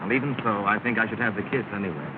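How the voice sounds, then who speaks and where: In tinny, noisy early film sound, a man plays an earnest young suitor. He speaks quickly in a lowish voice, courting politely. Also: natural voice. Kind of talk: 1930s film acting.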